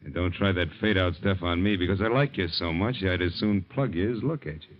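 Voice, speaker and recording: Hard-bitten cop for a male actor, very low voice, clipped and sardonic, muffled vintage radio sound. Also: fairly quick, natural voice. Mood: menacing. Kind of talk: old-time radio crime drama acting. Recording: muffled old radio recording.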